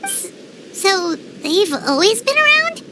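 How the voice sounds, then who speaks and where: A woman voicing a tiny, childlike fairy companion in a video game, squeaky, earnest and excitable. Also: slow pace, very noisy, exaggerated voice. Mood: puzzled. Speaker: voice actor in a game. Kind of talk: scripted game cutscene acting.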